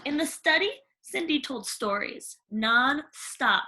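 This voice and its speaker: A woman reading a children's story aloud in an expressive, theatrical way with dramatic pauses. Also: sits high, poor audio. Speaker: storybook reader.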